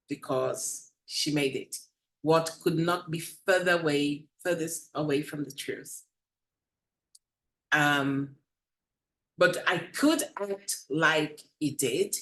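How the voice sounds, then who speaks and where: A woman's voice: fairly low pitch, fairly bright tone. A woman in her late forties, not a native English speaker, speaking earnestly as a panelist in a webinar discussion, with frequent hesitant fillers. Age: 40s.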